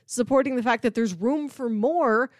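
A woman's voice: a little high, moderate pace, dry recording, studio quality. A woman co-hosting a casual podcast, chatting in an enthusiastic, effusive way. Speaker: podcast co-host.

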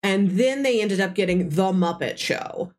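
A woman's voice: medium pitch, a little slow, a little mellow. A woman hosting a podcast, chatting casually and enthusiastically about film, often with a giggle in her talk. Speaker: podcast host. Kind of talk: casual film discussion chat.

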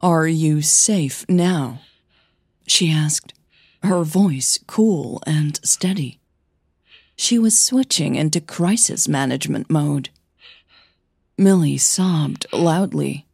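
Female narrator for audio fiction. Low, husky voice, measured and dramatic delivery. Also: darkish tone, no room sound, clean studio sound.

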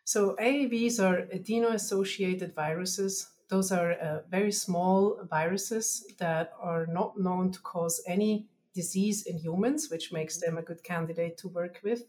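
A woman's voice: on the low side, measured pace, few pauses, darkish tone. A woman from Switzerland with a Swiss German accent, explaining science as an interview guest in careful, sometimes hesitant phrasing.

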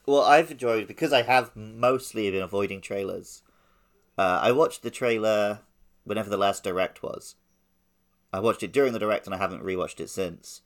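A man's voice: on the low side, normal speed. A man co-hosting a podcast, talking in a casual, conversational style. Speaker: podcast co-host. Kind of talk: casual chat.

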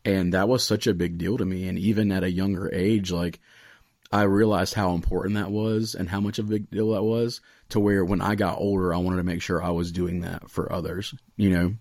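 A man's voice: deep voice, little pitch movement, brisk, darkish tone, dry recording, clean studio sound. An American man in his late twenties chatting casually and earnestly as a podcast host, with plenty of "like" and "you know". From Raleigh area, United States. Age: late 20s. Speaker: podcast host, student pastor.

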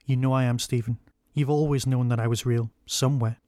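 A man's voice: medium pitch, fairly even intonation, a little fast, dark tone, no echo, clean studio sound, natural voice. A man voicing a weary time-travelling alien who earnestly pleads for a rest, in an audio story. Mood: pleading.

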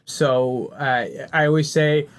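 A man's voice: on the high side, slow, smooth. A middle-aged man with a slight Arabic accent, a native Arabic speaker, chatting casually as a podcast guest. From born in Kuwait, moved to Canada. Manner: chuckles often, animated agreement. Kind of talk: casual conversational interview.

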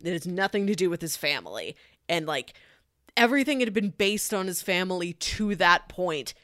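A woman's voice: on the low side, sing-song, moderate pace, pushed and bright, dry recording, clean studio sound. A woman casually discussing a movie as a podcast host, talking through her opinions.

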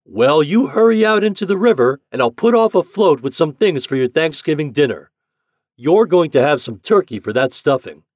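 A man performs a jolly riverboat captain from a children's story. He roars his words heartily in a raised pitch with big swings, kindly underneath the bluster. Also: very animated, normal speed, few pauses, a little mellow, husky, no echo, clean studio sound. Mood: hearty calling. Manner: roaring, calling out through a trumpet.

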